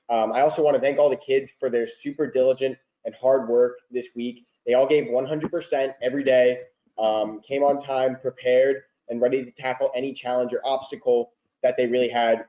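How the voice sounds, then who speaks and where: A man's voice: medium pitch, echoey room, highs cut off. A young American man working as a debate coach, giving an earnest, appreciative and informal speech of thanks. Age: young adult.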